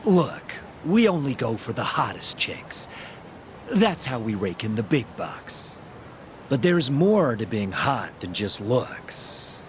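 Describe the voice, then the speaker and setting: Curt club doorman, swinging pitch. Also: big pitch swings, takes it slowly, a little hiss or hum. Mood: grudging, smug. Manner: curt, dismissive. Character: brusque, dismissive club doorman guarding exclusive hostess club. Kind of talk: English video game cutscene acting.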